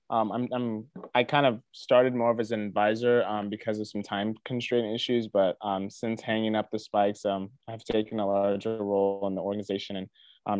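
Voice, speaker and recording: A man's voice: lowish voice, a little mellow, clear, resonant tone, no room sound. A young man from Ghana, a guest in a casual interview, talking earnestly with a lot of ums and restarts. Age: young adult. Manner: earnest, many fillers and restarts. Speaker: interview guest, student athlete.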